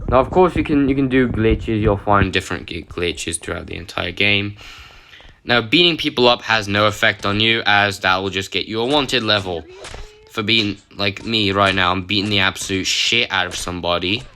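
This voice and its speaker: A man with a half-lost, hoarse voice, rambling through a casual mobile game tutorial with lots of self-corrections. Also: on the low side, moderate pace, bright, forceful tone, a little hiss or hum. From United Kingdom. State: partly lost voice, throat-clearing. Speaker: gaming channel host.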